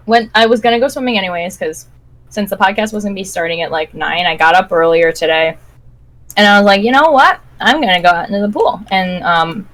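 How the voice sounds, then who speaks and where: A woman's voice: medium pitch, fairly bright tone. A woman co-hosting a podcast, telling everyday anecdotes in casual conversation. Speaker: podcast co-host. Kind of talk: casual live-stream chat with anecdotes.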